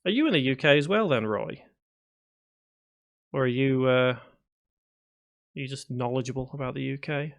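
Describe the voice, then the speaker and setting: Creaky-voiced man with a faded Scouse accent. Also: mid-range voice, normal speed, long pauses. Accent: faded Liverpool (Scouse). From Liverpool area, England. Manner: mumbles to himself while working. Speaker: livestream host and game development tutor. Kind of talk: casual livestream chat, answering viewer comments.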